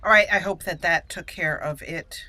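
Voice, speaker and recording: Bright-voiced woman hosting a game playthrough. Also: fairly low pitch, moderate pace. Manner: chatty, playful asides. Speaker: gaming video host. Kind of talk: roleplay game commentary, partly reading dialogue choices aloud.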